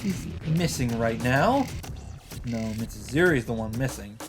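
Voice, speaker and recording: Mid-pitched man narrating over game music. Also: expressive, a little slow. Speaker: let's play host. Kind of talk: reading visual novel text aloud with asides. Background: visual novel soundtrack.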